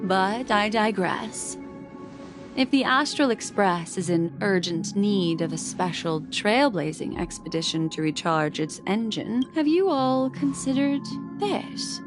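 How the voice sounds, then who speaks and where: Mysterious, unhurried, teasing enchantress, woman acting. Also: mid-range voice, animated, natural voice. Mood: smoothly persuasive. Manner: slow, coy, theatrical. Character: mysterious, teasing, elegant female memory keeper. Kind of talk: game voice acting. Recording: background music under the dialogue.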